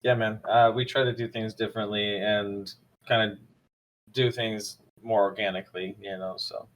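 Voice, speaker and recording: Man, low voice, laid-back host, lots of ums. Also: level tone, moderate pace, poor audio. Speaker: host of crypto investor AMA. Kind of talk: casual online chat.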